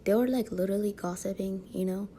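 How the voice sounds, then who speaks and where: A woman's voice: mid-range voice, fast talker, slight background noise. A woman with a light French accent talking casually to her viewers, rambling with many fillers and "you know what I mean?" tag questions. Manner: frequent soft laughs. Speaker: vlogger. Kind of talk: casual monologue to camera.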